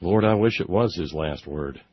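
A man hosting a political call-in radio show solo, in a sardonic, mock-exasperated way with loose, emphatic, halting delivery and dull lo-fi radio sound. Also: low voice, crowd noise.

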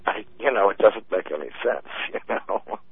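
Low husky man on a phone line. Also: expressive, moderate pace. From United States. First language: German. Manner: rambling, laughing, many fillers. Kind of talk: casual chat. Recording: tinny phone-line sound.

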